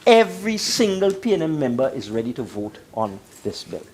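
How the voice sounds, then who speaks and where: A man's voice: mid-range voice, big pitch swings, moderate pace, darkish tone. A man with a Trinidadian accent giving an emphatic parliamentary speech, repeating phrases for stress. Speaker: government minister speaking in parliament.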